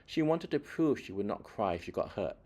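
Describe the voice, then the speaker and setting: Low-voiced man reading a children's story. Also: big pitch swings, dark tone, slightly rough. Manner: dramatic emphasis, deliberate phrasing, varied delivery. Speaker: children's book reader. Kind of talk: expressive read-aloud storytelling for children.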